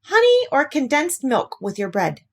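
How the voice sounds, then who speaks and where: A woman acts out a fussy, cagey rabbit from a children's storybook. He sounds prim and evasive, pitched high with very lively swings. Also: normal speed, heavily acted. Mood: relieved, hospitable.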